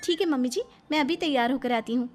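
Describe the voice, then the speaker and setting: A woman's voice: high-pitched, quick pace, darkish tone, soft background music, natural voice. A woman voicing a cocky, playful young daughter-in-law who swaggers like a film tough-guy in a cartoon story. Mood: playful, coaxing. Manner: swaggering, quotes film dialogue theatrically.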